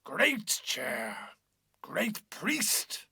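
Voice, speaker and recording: A man playing a fat, pompous, contemptuous parish priest in a dramatic reading, with a deep, grunting voice. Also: wildly swooping pitch, gravelly, pushed and bright, husky, dry recording, clean studio sound, full character voice. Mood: smug, self-satisfied. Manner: pompous, slow, self-satisfied. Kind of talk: dramatic short-story reading.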